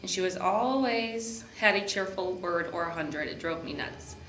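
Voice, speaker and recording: A woman's voice: lowish voice, moderate pace, fairly bright tone. Woman reading a storybook, echoey room. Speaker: park district program supervisor reading to children. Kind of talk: children's storybook narration. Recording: echoey room with background noise.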